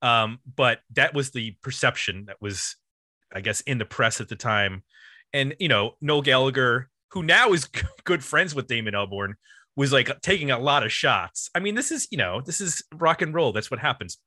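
A man's voice: medium pitch, animated, normal speed, bright, forceful tone, no echo, studio quality. A man hosting a music podcast, casually explaining the history of an album in an informal, loosely structured way.

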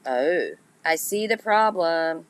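Woman, podcast host, congested and nasal, raspy gritty voice, easygoing chat with listeners. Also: deep voice, animated, slow pace. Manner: chatty, laughs at herself, talks straight to listeners. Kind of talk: casual live chat with listeners.

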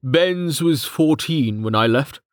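Male narrator as a wary family man, brooding, hesitant, slow-spoken, fantasy audiobook. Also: a little high, expressive, no room sound, studio quality, voice pushed up. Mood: anxious, sorrowful.

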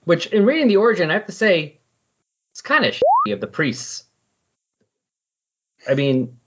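A man's voice: medium pitch, slightly rough, highs cut off. A man talking casually as a podcast co-host in a rambling, conversational way about comics.

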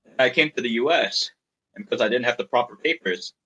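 A man's voice: medium pitch, quick pace, bright, forceful tone, husky, rough recording. A man in his thirties from Malaysia, a startup founder being interviewed, speaking frankly and rambling a little as he tells his story. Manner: frank, rambling, repeats words while thinking. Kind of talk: candid conversational storytelling.

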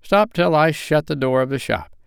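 A crafty old rag-and-bone man who haggles and wheedles, as a male reader puts him on. The voice sits raised above the reader's own and moves lively. It comes across smooth and clear. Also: fairly high pitch, moderate pace, no room sound, clean studio sound, pitched above the performer's own voice. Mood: welcoming. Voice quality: wheedling, chuckling.

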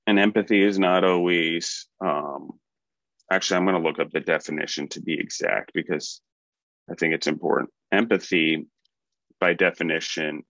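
A man's voice: deep voice, fairly bright tone, no echo, lo-fi sound. A man co-hosting a leadership podcast, talking in a motivational, loosely rambling way with fillers and restarts. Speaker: podcast co-host. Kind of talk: casual podcast talk on leadership.

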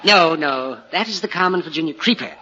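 An actor playing a genteel, enthusiastic plant-hunting gentleman in an old-time radio drama, courteous and precise. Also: on the high side, animated, brisk. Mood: excited. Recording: dull vintage radio recording, light noise.

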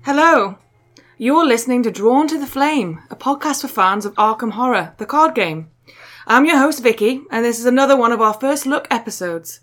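A woman's voice: on the high side, expressive, normal speed, a bit bright. A woman hosting a podcast, joking her way through card art in casual chat. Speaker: podcast host.

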